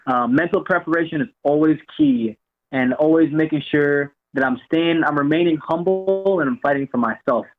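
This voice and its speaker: A young man speaking over a thin, tinny phone line, his voice sitting a bit high. He talks in a loose, rambling way, starting phrases twice before he gets them out. He sounds like a guest who has called in to a show. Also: moderate pace, loud music behind the voice. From Jamaica, raised in Florida. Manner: relaxed, rambling, repeats words. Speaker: guest fighter. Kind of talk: phone-in interview.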